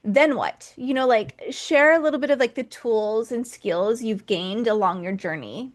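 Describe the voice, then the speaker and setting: Woman, podcast host, upbeat, affirming, casual chat, higher-pitched. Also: a little slow. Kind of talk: casual interview talk.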